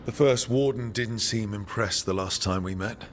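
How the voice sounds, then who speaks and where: An actor playing a steady, friendly male team leader in a fantasy video game. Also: lowish voice, moderate pace, a little hiss or hum, lightly acted. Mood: serious.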